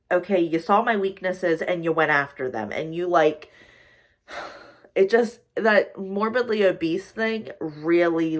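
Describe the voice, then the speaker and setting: A woman in her twenties chatting casually in a vlog, rambling with lots of fillers as she talks through her worries. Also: on the low side. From United States. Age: 20s. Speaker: social worker and vlogger. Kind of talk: casual chat.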